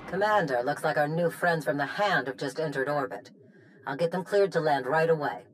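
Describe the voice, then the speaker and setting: Higher-pitched, brisk military aide played by a man. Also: a little hiss or hum, lightly acted, poor audio. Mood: businesslike. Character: eager, businesslike male military aide. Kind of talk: video game cutscene acting.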